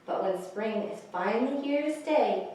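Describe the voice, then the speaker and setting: A woman reading picture books aloud to young children, pausing to explain the pictures and ask questions. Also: a little high, expressive, mellow. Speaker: children's librarian, story time host. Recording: echoey, distant microphone, faint hiss.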